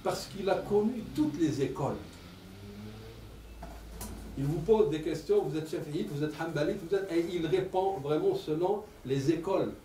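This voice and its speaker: A man in his sixties with an Arabic accent, giving an animated public talk with many repeated words. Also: fairly high pitch, normal speed, slight background noise. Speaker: lecturer. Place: lecture room. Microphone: table stand mic. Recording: echoey room, mic at a distance.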